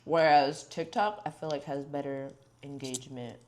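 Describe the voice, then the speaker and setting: A woman's voice: deep voice, fairly even intonation, normal speed, a little mellow. A young woman hosting a podcast, chatting candidly, swearing freely and filling her talk with "like". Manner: candid, swears freely, heavy filler words. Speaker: podcast host. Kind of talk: casual podcast chat.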